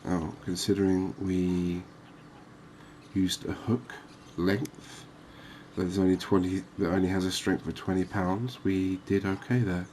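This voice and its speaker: A man giving unhurried, murmured ASMR commentary as he hosts a fishing game series. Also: low-pitched, level tone, darkish tone, slight background noise. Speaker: host of a gaming series.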